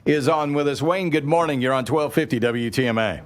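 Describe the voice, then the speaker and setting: A man's voice: mid-range voice, fairly quick. A man hosting a morning radio show, talking conversationally and telling anecdotes in a folksy way. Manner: folksy, animated anecdote telling with acted-out dialogue. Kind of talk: conversational live talk radio storytelling. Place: on a stage before a live studio audience.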